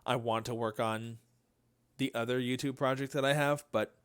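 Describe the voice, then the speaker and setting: A man's voice: mid-range voice, fairly even intonation, moderate pace, clear, resonant tone. A man streaming a video game, chatting casually with his viewers in a self-deprecating way. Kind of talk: casual livestream chat while playing.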